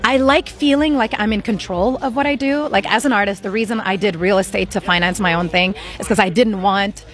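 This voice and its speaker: A woman's voice: medium pitch, a little fast, hardly any pauses, soft background music. A young woman in a casual outdoor interview, talking informally and acting out the things she quotes. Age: young adult. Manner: informal, acts out quoted lines. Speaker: interviewee, music artist. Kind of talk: casual chat in a street interview. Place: outdoor patio at an event. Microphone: handheld interview mic.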